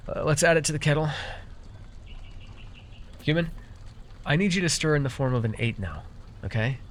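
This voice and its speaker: A man performs a male fae potion brewer for a fantasy roleplay audio, with a lively, swinging delivery. He is chatty and wry but friendly, slipping into hesitant muttered asides. Ambient noise and pouring sit behind the voice. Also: mid-range voice, close to an everyday voice.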